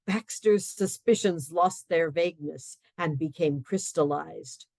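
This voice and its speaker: Woman, radio play narrator, mellow warm tone, dry close studio sound. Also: on the low side, no echo. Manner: expressive, wry storytelling with hushed asides.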